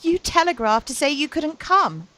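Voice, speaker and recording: A woman's voice: high voice, very animated, moderate pace, bright, forceful tone, exaggerated voice. A woman playing an innocent, fanciful young lady in a Victorian radio play, wistful and poetic. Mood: dreamy, wistful. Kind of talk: Victorian period radio acting.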